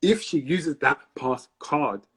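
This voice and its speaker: Lively bright-voiced male host. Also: a little high, slow pace, slightly rough. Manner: upbeat hype, repeats prompts to the audience. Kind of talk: live-stream interview hosting.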